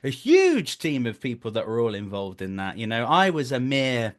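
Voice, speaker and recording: A man's voice: mid-range voice, normal speed. A man in his thirties with a mid-range voice talks as a guest in a casual interview. He tells anecdotes as a director in a joking, self-deprecating way. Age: 30s. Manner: animated storytelling, self-deprecating, jokey.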